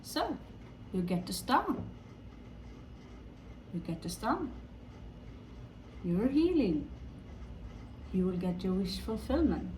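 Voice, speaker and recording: Slow-paced Norwegian woman reading tarot. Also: medium pitch, very animated, darkish tone, a little hiss or hum, rough recording. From Norway. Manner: halting, pausing, repeats phrases. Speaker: tarot reader.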